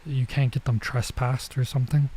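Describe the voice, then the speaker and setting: A man streaming a visual novel, reading it aloud with casual commentary and thinking out loud as he goes. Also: medium pitch, steady tone, moderate pace, a little hiss or hum. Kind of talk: let's play read-aloud with casual commentary.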